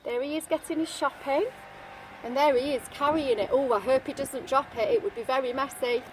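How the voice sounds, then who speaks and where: A woman's voice: sits high, steady tone, fairly quick, noisy background. A woman reading a picture book aloud to young children, expressively, with chatty asides and questions to her listeners. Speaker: storybook reader, teacher-like.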